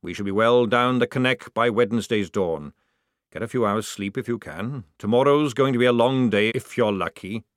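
A man voicing a gruff old army sergeant who barks orders at his recruits. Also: mid-range voice, moderate pace, no room sound, studio quality, lightly acted. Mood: commanding. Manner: barking orders. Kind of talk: audiobook character voice.

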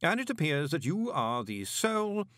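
A man's voice: on the high side, big pitch swings, normal speed, a bit bright, no room sound, clean studio sound, voice pushed up. A man voicing a talking letter from a lawyer, speaking in a clipped, precise way. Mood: formal.

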